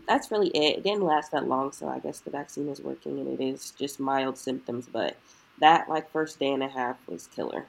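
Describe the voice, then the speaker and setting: A woman's voice: extremely low pitch, moderate pace, gravelly, low-quality recording. A woman from Cincinnati co-hosting a podcast in casual chat, sounding nasal and congested. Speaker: podcast co-host.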